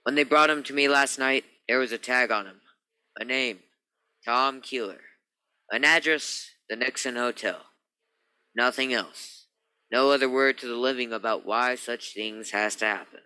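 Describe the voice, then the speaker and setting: Man acting a sharp, bright, morbid morgue doctor. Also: mid-range voice, moderate pace, smooth, no echo, rough recording. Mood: grave, insistent. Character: nervous, morbidly musing male morgue medical examiner. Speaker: actor in a radio play. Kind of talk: theatrical radio-play dialogue.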